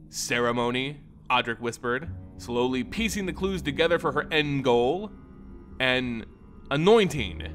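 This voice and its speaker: A man's voice: mid-range voice, pushed and bright. A man narrating a scripted fantasy story in a dramatic style. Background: music.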